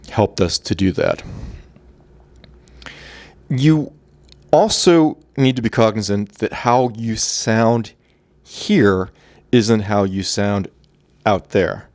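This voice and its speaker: A man talking through voice and breathing exercises in a relaxed, conversational way. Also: on the low side. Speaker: voice coach. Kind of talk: instructional talk.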